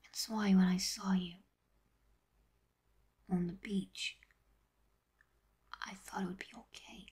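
A woman voicing a teasing, wistful teenage boy in a fan audio drama, breathy and often near a whisper, in a confiding manner. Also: mid-range voice, steady tone, takes it slowly, long pauses, darkish tone.

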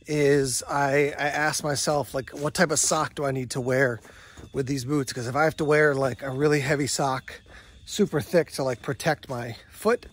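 An American man in his forties giving a casual, unscripted product review to camera outdoors, conversational and a little hesitant. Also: medium pitch. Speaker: gear review vlogger. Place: outdoors, woods, yard and parking lot. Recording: outdoor recording with clear background noise.

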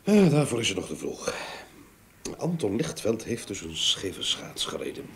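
An actor playing a patient, probing detective in a Dutch radio drama, with a hesitant, questioning manner. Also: male voice, lowish voice, sing-song, moderate pace, fairly bright tone, slight background noise. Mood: pensive. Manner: hesitant, questioning, with murmured hums. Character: probing, pensive male detective, patient and methodical.

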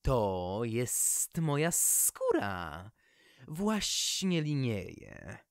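Small polite storybook snake, put on by the narrator, very slow drawn-out delivery, dry sound. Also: medium pitch, wildly swooping pitch, no echo, full character voice. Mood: curious, patient. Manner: slow, drawn-out, careful speech. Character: small gentle male grass snake, polite, wistful.

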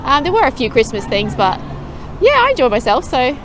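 A woman's voice: sits high, sing-song, fairly quick, background noise. A woman in her thirties hosting a casual travel vlog, chatty and upbeat, talking to camera on a lapel mic at outdoor Christmas markets. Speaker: travel vlogger host. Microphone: small lapel mic. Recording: outdoor market with loud music and crowd. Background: loud music and crowd chatter.

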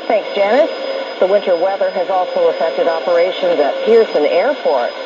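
A woman's voice: fairly low pitch, moderate pace. A woman news presenter reading a scripted report. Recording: lo-fi noisy radio reception.

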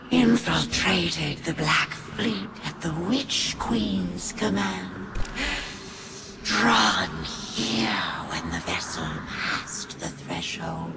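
A woman playing a solemn, devout alien wizard creature in a video game, speaking formally in a creaky, whispery voice. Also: on the low side, sing-song, drawn-out words, full character voice. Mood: reverent. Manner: formal, speaks of self in third person. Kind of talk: scripted video game dialogue. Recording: heavy background noise.

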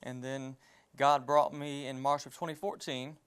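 A man in his thirties from southeast Georgia, a church music minister, answering interview questions in a measured way with pauses. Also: medium pitch, little pitch movement, a bit bright, smooth. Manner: measured, plain, pauses with ums. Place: living-room style studio set. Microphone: lapel mic.

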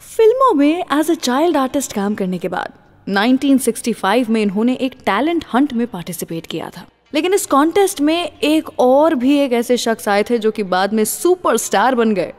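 A woman's voice: fairly high pitch, sing-song, moderate pace, a little mellow. A woman with an Indian accent hosting a radio show, conversational yet scripted, mixing in English phrases and teasing listeners with questions. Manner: mixes English phrases into speech, teases with questions. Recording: long reverb on voice.

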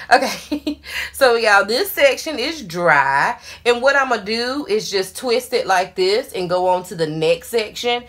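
A woman in her thirties talks straight to the camera in a small bathroom while she works through her hair. Her voice is bright and forward, with chatty, playful asides to her viewers. A hair dryer hums in the background. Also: mid-range voice. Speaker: hair vlogger. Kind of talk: casual product review while styling hair. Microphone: camera in front, hands busy. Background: hair dryer running.

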